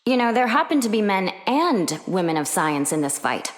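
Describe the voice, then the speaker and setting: An actress voicing a capable middle-aged scientist superheroine in a video game, with dry, wry humour. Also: female voice, mid-range voice, big pitch swings, normal speed. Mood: wry, determined.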